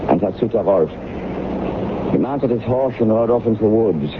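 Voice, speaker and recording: Man acting a low-voiced, courteous, cryptic local guide. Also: expressive, natural voice. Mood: grave, guarded. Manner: formal, measured, slightly cryptic. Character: smooth, courteous local representative with dark tales. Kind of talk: radio mystery drama acting. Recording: noisy, narrow-band old radio broadcast.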